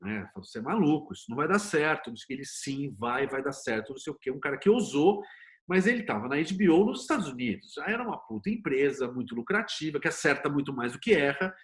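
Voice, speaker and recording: Brazilian male interview guest, somewhat higher-pitched, informal and emphatic in casual conversation. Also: big pitch swings, fast. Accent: Brazilian Portuguese. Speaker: interview guest, TV producer and screenwriter.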